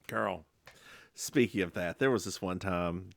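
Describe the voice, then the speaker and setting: A man co-hosting a podcast, recapping a TV episode in casual, campy chat with animated storytelling. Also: unhurried, gravelly, no echo, clean studio sound. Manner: animated, campy storytelling with sudden high-pitched impressions. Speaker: podcast co-host.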